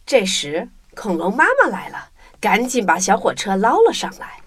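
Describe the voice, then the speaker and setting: A woman's voice: medium pitch, animated, normal speed, music in the background. A woman narrating a children's story as scripted audio drama.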